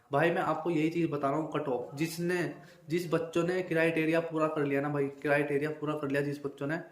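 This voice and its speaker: A young man giving an informal, emphatic exam analysis to viewers, often repeating himself and adding check-in tags. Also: on the high side. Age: young adult. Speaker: exam-prep video creator and exam candidate. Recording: echoey untreated room.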